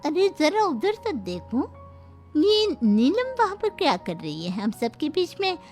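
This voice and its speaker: A woman's voice: sits high, huge pitch swings, slow, a little mellow, soft background music, voice pushed up, full character voice. A woman voicing a boastful, judgmental married gossip in a cartoon, with a showy, catty manner. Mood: scornful.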